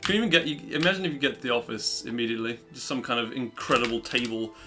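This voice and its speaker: A man hosting a gaming livestream, chatting quickly and casually with guests. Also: medium pitch, music in the background. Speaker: streamer host.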